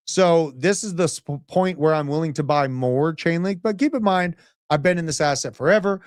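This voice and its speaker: A man in his thirties hosting a livestream, giving brash, animated market commentary and chatting with live viewers. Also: a little high, no room sound, clean studio sound. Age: 30s. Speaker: crypto livestream host.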